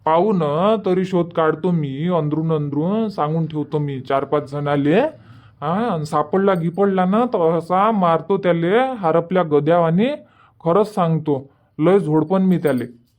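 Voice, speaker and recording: A man's voice: fairly high pitch, a little mellow, natural voice. A man playing a reassuring, bossy village elder brother in a cartoon comedy, speaking Marathi with a Varhadi accent and repeating his advice. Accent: Varhadi Marathi of Vidarbha. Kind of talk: dialect cartoon comedy dialogue.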